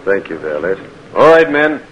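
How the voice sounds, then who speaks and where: An actor playing a stern, dutiful Confederate captain in an old radio drama. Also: male voice, fairly low pitch, big pitch swings, normal speed, crowd noise. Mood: brisk, courteous. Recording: dull, noisy vintage radio recording.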